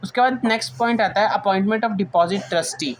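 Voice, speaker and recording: A woman's voice: mid-range voice, normal speed, a little hiss or hum. A woman with an Indian accent giving an informal lecture, explaining notes point by point and restating them as she mixes in English terms. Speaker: teacher.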